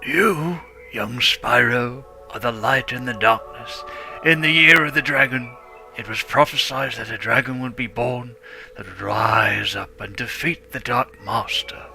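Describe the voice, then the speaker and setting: Man doing a wise old male dragon, solemn, measured, mid pitch, music behind. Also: creaky, bright, forceful tone, heavily acted. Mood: grave. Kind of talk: dramatic script reading. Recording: music underneath.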